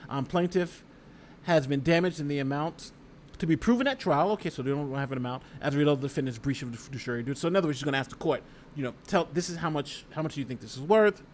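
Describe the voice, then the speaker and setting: Male livestream host, lawyer type: slightly high voice, conversational and emphatic legal commentary, reads aloud and reacts, some background noise. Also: fast talker. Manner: conversational, emphatic, repeats phrases and talks directly to viewers. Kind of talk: casual live legal commentary, reading a document aloud and reacting.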